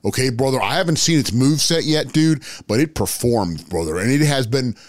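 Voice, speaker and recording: A man's voice: medium pitch, expressive, fairly quick, no echo, natural voice. Guy putting on a ranting wrestling star, brother dude brother, swings up and down a lot, dry close mic. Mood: indignant. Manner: says brother and dude constantly, swearing, agitated. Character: blustering aging wrestling star, man. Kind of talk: improvised comedy bit.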